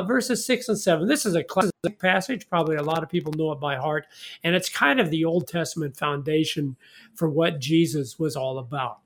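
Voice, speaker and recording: A man's voice: fairly high pitch, creaky, fairly bright tone. A man leading a conversational Bible study in a folksy, informal way, often putting leading questions to his partner. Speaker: host of a Bible study discussion.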